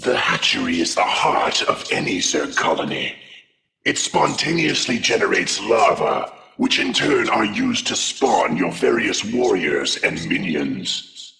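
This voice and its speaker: A man voicing a stern, monstrous alien brood commander in a video game, instructing imperiously in a very gravelly voice. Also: low voice, very animated, measured pace, bright, forceful tone, exaggerated voice, poor audio. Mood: instructive. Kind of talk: video game character briefing.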